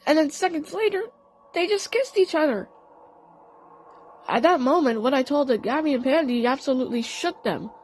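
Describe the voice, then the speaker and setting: A woman narrating a fan audio play in the first person, telling the story in an earnest, deliberate, dramatic way. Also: high voice, animated, darkish tone.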